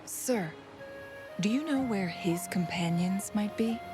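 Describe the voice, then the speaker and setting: An actress playing a composed, courteous female warrior in a video game, measured and polite. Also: mid-range voice, music in the background, lightly acted. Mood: calm, reassuring. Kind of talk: scripted game dialogue.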